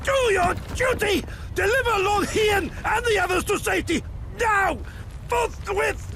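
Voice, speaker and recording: Old warrior guy in a video game, gravelly forceful bellowing, fierce and self-sacrificing, some rumble behind. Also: a little slow, heavily acted. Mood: desperate, commanding. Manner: strained, bellowing, archaic formal commands. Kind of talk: dramatic video game battle acting.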